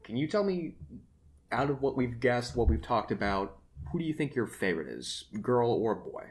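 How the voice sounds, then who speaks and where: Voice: medium pitch, big pitch swings, normal speed, a little mellow. A host with a mid-range voice runs a casual chat about game characters. The delivery is informal and explanatory, often turning to the guests with questions.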